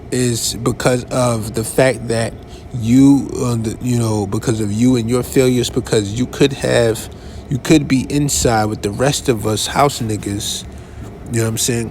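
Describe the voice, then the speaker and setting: A man hosting a podcast, giving casual commentary in a conversational, halting way with restarts and asides. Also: mid-range voice, darkish tone, a little hiss or hum. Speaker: podcast host.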